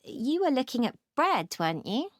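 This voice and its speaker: A woman's voice: high-pitched, big pitch swings, normal speed, no room sound, clean studio sound. A woman playing an interested, supportive young food science student in a scripted discussion.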